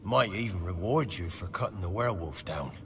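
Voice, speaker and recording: An actor playing a humble young peasant in a video game, speaking in a hesitant, rustic, stammering way. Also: male voice, deep voice, fairly quick, close to an everyday voice. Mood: shaken. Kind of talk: dramatic video game acting. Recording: long reverb, faint ambient noise.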